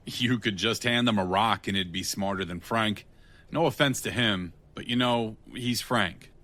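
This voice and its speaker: A man's voice: on the low side, unhurried, pushed and bright. An actor playing a wry, unafraid tough guy in an audio drama, speaking in a dry, blunt, sardonic way. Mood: wry, probing. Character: wry, unafraid, plain-spoken male tough guy with a kind streak.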